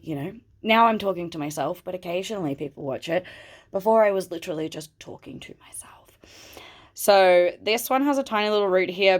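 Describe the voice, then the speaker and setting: A woman with a low voice, stuffy and snuffly as if coming down with a cold. She chats loosely and rambles, talking fondly to her plants at home. It is captured through a phone camera. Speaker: plant vlogger. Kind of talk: casual chat while handling plants. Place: at home indoors.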